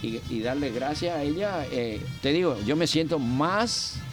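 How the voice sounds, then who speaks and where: An older man from Argentina, a guest on a radio show, telling his story in a rambling, halting way with many fillers. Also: fairly high pitch, expressive, music in the background. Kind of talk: casual radio interview.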